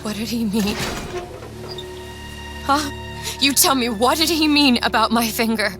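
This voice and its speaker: A woman's voice: on the high side, a little slow, music in the background, close to an everyday voice. An actress playing an earnest, determined young woman in a dramatic video game, speaking with direct emotion. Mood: anxious, questioning. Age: young adult.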